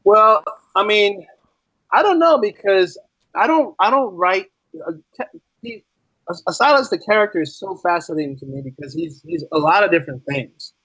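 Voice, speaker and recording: A man's voice: high-pitched, normal speed, husky, no room sound, highs cut off. A man in a casual interview, explaining his thoughts as a writer and guest, often repeating phrases for emphasis. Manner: animated explaining, repeats phrases, acts out imagined quotes.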